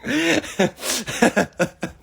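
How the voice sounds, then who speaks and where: A man's voice: very high-pitched, big pitch swings, very slow, gravelly, a bit bright, slight background noise. A young man hosting a casual livestream chat, playful and acting out the people in his stories. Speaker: livestream host.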